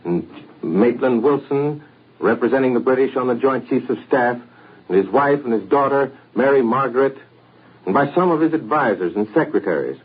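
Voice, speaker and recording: An American man anchoring a 1940s radio news broadcast in a measured, formal way, on an old radio recording. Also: mid-range voice, expressive, breathy edge, phone-quality sound.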